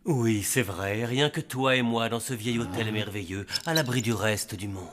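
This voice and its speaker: An actor voicing a young human husband, gushing and sappy with love. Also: male voice, fairly low pitch, normal speed, fairly bright tone, close to an everyday voice. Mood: lovesick. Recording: music underneath.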